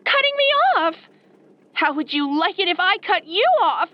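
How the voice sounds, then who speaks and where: A woman acting as an obsessed young female fan turned killer in a horror audio roleplay, soft-spoken, girlish and pouty, with sweet menace. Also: very high-pitched, very animated, moderate pace, no room sound, highs cut off. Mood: pouty, indignant. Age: young adult.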